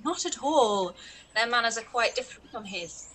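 Witty Regency heroine woman acting: young, crisp bright tone, poised, slight background noise. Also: a little high, animated, moderate pace. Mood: wry. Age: young adult. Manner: wry, teasing, poised. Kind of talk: period drama read-through. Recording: noisy home video call.

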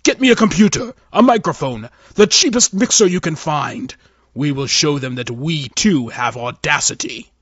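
A man's voice: on the high side, lively intonation, moderate pace, fairly bright tone, husky, dry recording, muffled recording. A man voicing a furious alien fleet admiral in a theatrical science fiction audio story, growling and bombastic. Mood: furious, commanding.

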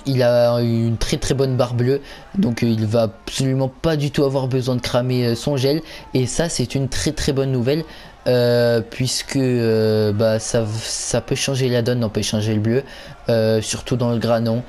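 Male gaming host. Relaxed, warm tone, stumbling casual play-by-play, light noise behind. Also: mid-range voice, unhurried. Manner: hesitant, stumbling, repeats himself. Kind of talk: casual live game commentary.

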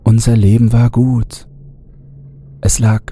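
A man narrating a horror audiobook in a deliberate, theatrical way, at times dropping to a hushed tone. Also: mid-range voice, flat delivery, husky. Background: music under the voice.

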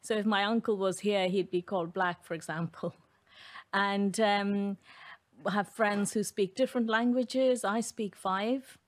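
A woman's voice: medium pitch, fairly even intonation, unhurried. A woman in her fifties from India, an architect telling her story as a radio guest at a studio mic. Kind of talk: panel interview answer. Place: room set up for broadcast. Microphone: studio mic, headphones.

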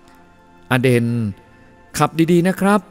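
A man's voice: takes it slowly, in a large hall, faint music behind, close to an everyday voice. A man reading a novel aloud, voicing an easygoing young man who teases his friends. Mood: teasing. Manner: playful, friendly.

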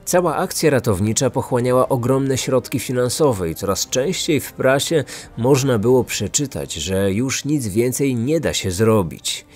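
A man narrating a scripted true-crime podcast in a serious, measured storytelling manner. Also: medium pitch, animated, darkish tone, breathy edge, faint music behind.

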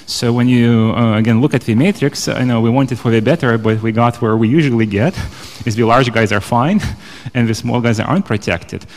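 A man's voice: medium pitch, fairly quick, darkish tone. A man in his thirties or forties from Lithuania speaking off the cuff as a conference panelist, with a hesitant, repetitive delivery. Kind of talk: panel discussion, off-the-cuff argument. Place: indoor conference stage. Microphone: handheld microphone. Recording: reverberant hall through handheld microphone.

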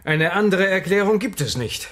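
A man's voice: high-pitched, lively intonation, normal speed, a bit bright. A man playing an earnest, worried professor in a children's science-fiction audio drama, precise and dramatic.

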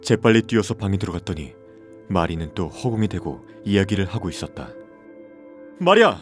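A man's voice: sits low, very animated, a little fast, faint music behind. A man playing a troubled widowed father in his thirties who narrates a scripted horror story and acts out his lines. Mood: alarmed, angry.

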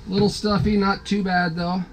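A man's voice: high-pitched, moderate pace, background noise. A man in his thirties filming himself on his sailboat, talking casually to the camera with lots of fillers. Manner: relaxed, easygoing, frequent "uh" fillers. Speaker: sailing vlogger. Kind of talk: casual vlog talk to camera. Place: on a sailboat at a marina, cabin and deck. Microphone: handheld camera held at arm's length.

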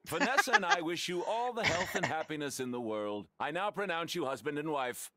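An actor voicing an older small-town mayor, a folksy, bumbling wedding officiant in a video game, ceremonial and rambling. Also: male voice, a little high, expressive, fairly quick, music in the background, lightly acted.

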